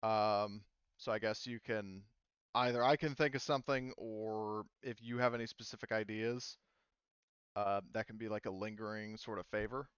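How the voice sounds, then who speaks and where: A man's voice: on the low side, fairly even intonation, moderate pace, a bit bright, clean tone, dry recording, dull audio. A man running a tabletop roleplaying game as game master, talking hesitantly with many ums and restarts.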